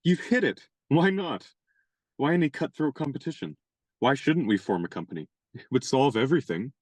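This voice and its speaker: Male actor as a courtly, wordy young gentleman; radio play, dry close sound. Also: medium pitch, lively intonation, normal speed, no echo, natural voice. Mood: persuasive. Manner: courtly, elaborate, playfully pompous phrasing. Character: genial young gentleman adventurer, chivalrous and wordy.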